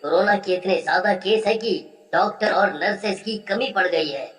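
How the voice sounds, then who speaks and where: A woman's voice: mid-range voice, moderate pace, echoey room, distant mic, faint music behind, natural voice. A woman voicing an urgent TV news anchor delivering breaking news in a cartoon story. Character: urgent TV news anchor reporting a health emergency.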